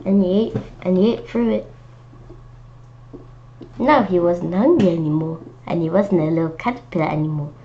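A woman's voice: fairly low pitch, normal speed, long pauses. A woman reading a children's storybook aloud, adding simple, childlike, chatty comments as she goes. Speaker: children's book reader. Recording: dull lo-fi home recording with noticeable noise.

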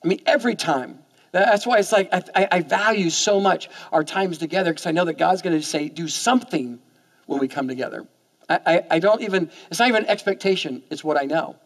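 A man in his forties preaching a sermon on a church stage, in an animated, conversational way that often repeats words as he starts a sentence. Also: high voice, brisk. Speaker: pastor. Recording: long hall reverb.

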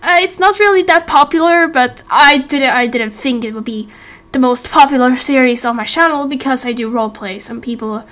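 A woman's voice: high voice, normal speed, a bit bright. A young woman in her late teens giving a casual, rambling update to viewers as a series host, in a giggly, self-interrupting way. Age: late teens, young adult. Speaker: YouTube roleplay series creator and host.

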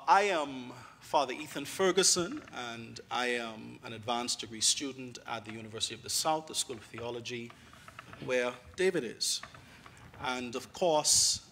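A man's voice: medium pitch, sharp tone, slight background noise. A man in his thirties with a Bahamian accent, a guest priest preaching a sermon in a church sanctuary into a pulpit mic, genial and rising to emphatic delivery.

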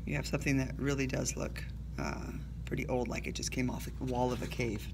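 A woman's voice: a bass voice, a little fast, creaky, noisy background. A woman teacher casually talking students through an art project while she works, self-deprecating and full of little okays and ums. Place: indoors at a table, recording her hands from above.

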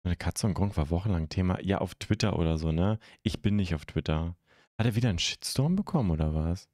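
A young man from Germany hosting a live stream, chatting casually and haltingly as he answers viewer comments into a close microphone. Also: low-pitched, animated, a little mellow, dry recording. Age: young adult. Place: indoor streaming room at home. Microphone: close microphone on a stand.

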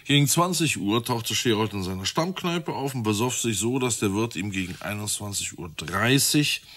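An actor playing a methodical, matter-of-fact police detective with a wry touch in a radio crime drama. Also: male voice, lowish voice, expressive, moderate pace, fairly bright tone. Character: methodical, dryly reasoning male police detective. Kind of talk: naturalistic radio drama dialogue.

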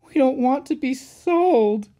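A storybook narrator voicing an excitable, anxious, childlike male little engine, pleading and gushing. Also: high-pitched, slow, pitched above the performer's own voice, heavily acted. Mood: miserable.

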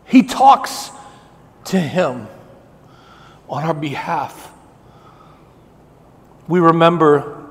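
A middle-aged male pastor preaching a sermon in a church sanctuary, building emphasis with slow, repeated phrases. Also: on the high side. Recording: long reverb of a church hall.

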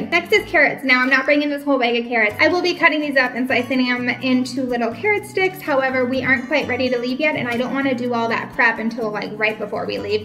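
A woman's voice: sits high, sharp tone. A woman in her thirties from Minnesota, chatting to the camera at home as she holds up items one by one. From Minnesota, USA. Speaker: vlogger. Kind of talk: casual product show-and-tell. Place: bright home room indoors.

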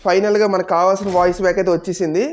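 Fast-talking woman, casual gaming host. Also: on the low side, level tone, darkish tone. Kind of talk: casual gameplay commentary to viewers.

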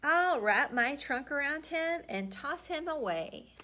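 Elephant: big, loud storybook elephant, trumpeting, played by a woman. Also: sits high, animated, takes it slowly, pitched above the performer's own voice, heavily acted. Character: large stomping male elephant.

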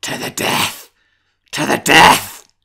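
A man voicing a huge furious grey wolf in a storybook reading, bellowing slow, gravelly threats. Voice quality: gravelly, shouting.

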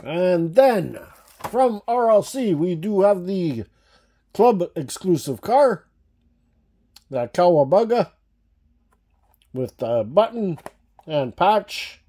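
A man with a mellow, relaxed voice chats enthusiastically to his viewers in a casual unboxing vlog. He has a slight cough that breaks in now and then. He sounds like he is talking at a table in a room full of shelves. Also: sits high, slow pace, long pauses, a little hiss or hum. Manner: enthusiastic, chatty, addresses viewers as guys. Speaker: diecast collector channel host. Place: indoor room with shelves of toy cars.

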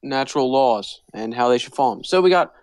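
A man's voice: medium pitch, animated, brisk, darkish tone, no room sound. A man co-hosting a podcast, talking casually and explaining things in a loose, joking way. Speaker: podcast co-host.

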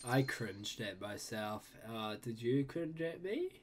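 A young man with a stuffy, blocked nose doing casual, excited reaction commentary in an animated, exclaiming way. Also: mid-range voice, takes it slowly, slight background noise. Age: young adult. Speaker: reaction video host. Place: small home room.

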